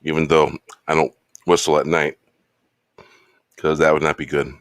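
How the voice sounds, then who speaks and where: A man hosting his show in a laid-back, rambling casual chat, slightly hoarse from losing his voice. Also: rumbling low, little pitch movement, moderate pace, dry recording, studio quality. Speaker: show host. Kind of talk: casual chat while drinking.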